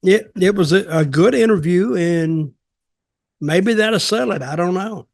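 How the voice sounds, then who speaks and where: A man's voice: high voice, unhurried, creaky, dry recording, studio quality. An older man in his seventies chatting casually as a podcast co-host, giving opinions in a blunt, rambling, folksy way. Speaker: podcast co-host and wrestling storyteller. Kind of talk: casual chat, opinionated commentary. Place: small home office, video call.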